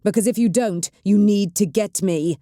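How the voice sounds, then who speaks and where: A woman's voice: mid-range voice, normal speed, warm tone, dry recording, studio quality, natural voice. A woman voicing a battered, stubborn woman navigator in chronic pain, speaking through gritted teeth with acid, commanding force. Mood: urgent.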